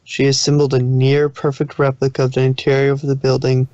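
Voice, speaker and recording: A man's voice: mid-range voice, monotone, brisk, darkish tone, muffled recording. A man narrating a story aloud as a fan fiction reader, occasionally stumbling over the text.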